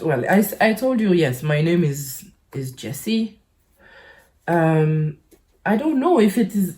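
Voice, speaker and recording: Woman from caribbean island, low mellow voice, kinda halting n rambly, casual vlog chat. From French Caribbean island. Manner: hesitant, halting, rambling with frequent pauses and repeats. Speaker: YouTube channel host. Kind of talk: casual personal vlog talk.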